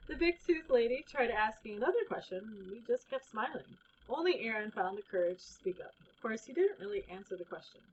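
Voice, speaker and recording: A woman with a husky voice reading a children's book aloud as a storybook reader. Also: on the high side, slight background noise. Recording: dull, lo-fi recording.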